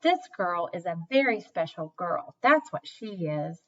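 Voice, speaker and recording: A woman voicing an emphatic, admiring male circus owner in a children's story, with deliberate, declarative delivery. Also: fairly low pitch, big pitch swings, a bit bright. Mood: firm, admiring. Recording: dull, distant microphone.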